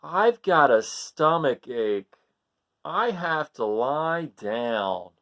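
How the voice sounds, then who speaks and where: A man's voice: a little high, big pitch swings, very slow, fairly bright tone, lo-fi sound, exaggerated voice. A man voicing a young boy goat, an eager and earnest eater, in a picture-book read-aloud. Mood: queasy.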